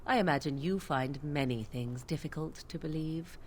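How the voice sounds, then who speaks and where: A woman's voice: low voice, normal speed, a little mellow, a little hiss or hum, close to an everyday voice. A young woman voicing a haughty witch of the wilds in a video game, who talks in a cool, cutting, disdainful way. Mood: scornful.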